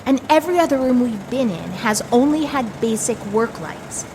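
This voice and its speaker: A woman's voice: on the high side, expressive, normal speed, noisy background. A woman playing an authoritative, dry section chief of a spy agency in an audio comedy drama. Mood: commanding.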